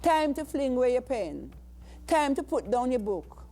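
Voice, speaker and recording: A woman with a Jamaican accent reciting spoken-word poetry in a deliberate, dramatic way. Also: high-pitched, big pitch swings, mellow. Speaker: poet.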